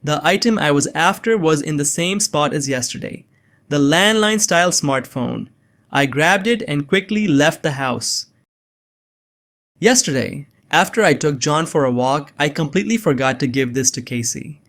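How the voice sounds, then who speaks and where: A man playing a nerdy, anxious young man on the run, narrating a suspense story in a measured, earnest way. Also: fairly high pitch, lively intonation, a bit bright. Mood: tense, measured. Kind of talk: first-person scripted narration of suspense fiction. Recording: music behind the voice.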